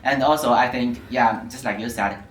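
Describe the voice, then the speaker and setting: A man's voice: medium pitch, moderate pace, slight background noise. A male student speaking in a discussion, rambling with many fillers and restarts. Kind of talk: casual discussion. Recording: echoey room, mic far off.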